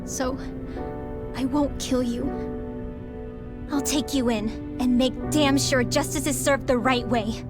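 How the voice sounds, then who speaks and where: A high, youthful girl mage in a game cutscene. She is fierce, earnest and resolute, pressing each line with emphasis over loud music. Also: fairly even intonation, slow pace, long pauses. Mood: bitter resolve. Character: fierce, resolute young girl mage, wronged but principled. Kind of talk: video game cutscene acting.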